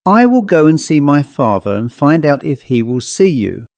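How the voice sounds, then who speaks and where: A man voicing a gentle young woman, plaintive and anxious, in a ghost story. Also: a little high, very animated, moderate pace, no echo. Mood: polite, hushed. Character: sweet-faced young woman, gentle, plaintive, anxious about her father.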